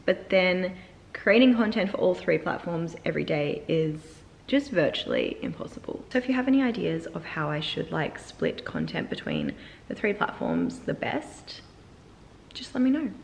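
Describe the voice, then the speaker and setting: A chatty Australian woman in her twenties vlogging casually to camera with a croaky voice, sometimes dropping to a soft whisper. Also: on the low side, a little hiss or hum. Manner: chatty, bubbly, often drops to a soft whisper. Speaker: lifestyle vlogger. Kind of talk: casual vlog chat to camera. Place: bright open-plan apartment, indoors.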